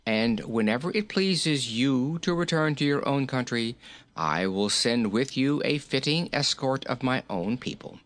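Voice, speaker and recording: A narrator voicing a dignified island king from a storybook, formal, polite and measured. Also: mid-range voice, lively intonation, voice pushed up, lightly acted. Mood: gracious. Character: courteous, dignified male island king and host.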